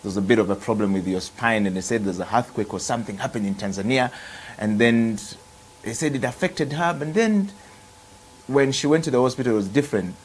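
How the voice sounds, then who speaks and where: Male TV host, medium pitch, formal introductions, uh-filled questions. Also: a little fast, a little hiss or hum, poor audio. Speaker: TV show host. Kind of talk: hosting a book interview.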